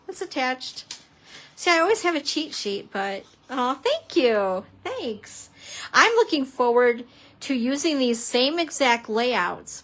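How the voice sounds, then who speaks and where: A woman teaching a live craft demonstration, chatty and prone to laughing at herself, a little hoarse as she's losing her voice. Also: on the high side, a bit bright. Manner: chatty, giggly, self-deprecating, exclamatory. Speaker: papercrafting instructor.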